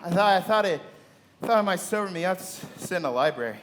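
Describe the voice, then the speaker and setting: An actor playing a stout, middle-aged drunken party guest in a radio play, halting and repeating himself in tipsy amazement. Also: male voice, high-pitched, animated, fairly bright tone, a little hiss or hum. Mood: tipsy wonder. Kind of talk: live radio play acting.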